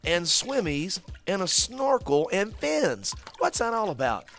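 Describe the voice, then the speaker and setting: A man's voice: high voice, sing-song, a little slow, vocal fry, a bit bright, faint music behind. A man hosting a kids' ministry skit, stammering and repeating words while he patiently explains things. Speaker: children's ministry host. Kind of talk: scripted comic skit for kids.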